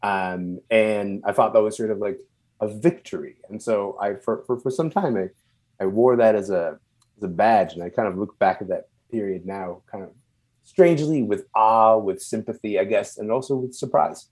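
A man from Sudan who moved to Canada young and is not a native English speaker, speaking reflectively and haltingly as an interview guest, searching for words. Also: fairly low pitch, darkish tone. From born and raised in Sudan, moved to Canada at twelve. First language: non-English first language.

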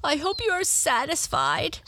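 A woman's voice: high voice, huge pitch swings, a little slow, bright, forceful tone, a little hiss or hum, voice pushed up, exaggerated voice. A woman voicing a petulant, whiny, tearful little girl in a children's story.

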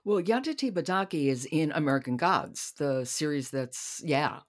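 Low-voiced woman hosting a book podcast. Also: animated, normal speed. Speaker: podcast host. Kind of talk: conversational interview.

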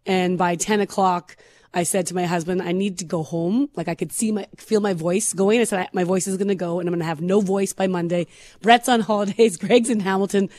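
Hoarse scratchy-voiced woman radio host. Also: fairly low pitch, fairly even intonation, brisk, darkish tone, no echo. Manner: chatty, laughs often. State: hoarse, losing her voice. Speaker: radio morning show co-host. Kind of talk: casual on-air chat. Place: radio studio. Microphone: studio microphone.

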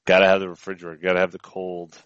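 Low, muffled American man, easygoing. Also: completely flat delivery, very fast, fairly bright tone, smooth, no room sound. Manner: easygoing, conversational, repeats phrases. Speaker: sports podcast co-host. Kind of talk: casual sports chat. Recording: dull, band-limited audio.

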